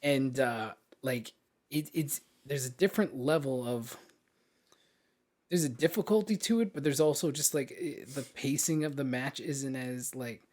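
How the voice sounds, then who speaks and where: A man's voice: medium pitch, lively intonation, measured pace, darkish tone. A man co-hosting a podcast, chatting casually about games and films in an informal, slangy way with some mild swearing. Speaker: podcast co-host.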